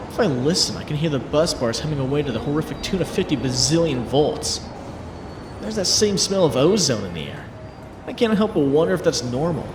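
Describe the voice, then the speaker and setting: Guy doing an excitable jokey young superhero, high voice that swings all over, comic dub, noise behind. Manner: theatrical, joking, exclamatory. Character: wisecracking young male superhero, chatty, excitable, nervy. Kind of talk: comic dub narration and dialogue.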